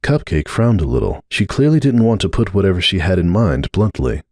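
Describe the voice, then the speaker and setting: A man's voice: low-pitched, big pitch swings, brisk, a little mellow, no echo. A man giving a dramatic scripted narration of a story as its narrator.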